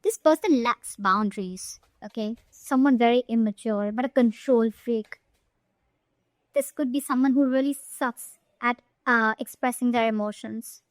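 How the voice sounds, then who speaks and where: High-pitched woman giving a tarot reading. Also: unhurried, dry recording. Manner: emphatic, checks in often with short tag questions. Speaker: tarot reader. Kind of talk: informal tarot reading addressed to the viewer.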